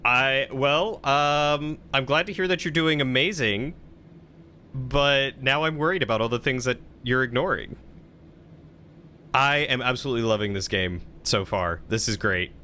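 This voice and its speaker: A man gives casual gameplay commentary on a live stream. His voice is bright and of medium pitch, and his delivery is chatty and playful. Faint noise sits behind him. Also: unhurried.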